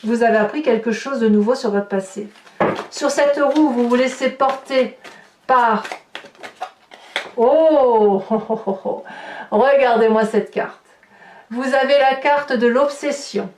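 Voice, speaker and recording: A woman with a fairly high voice talks viewers through an improvised tarot reading. She addresses them directly and expressively. The sound is very roomy, with the microphone some way off. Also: takes it slowly, pauses often, a little mellow, slight background noise. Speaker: tarot reader hosting a horoscope video. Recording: roomy echo, distant microphone.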